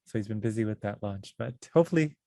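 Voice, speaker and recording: A woman hosting a podcast, asking relaxed quick-fire questions in casual chat. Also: very deep voice, dry recording, clean studio sound. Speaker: podcast host.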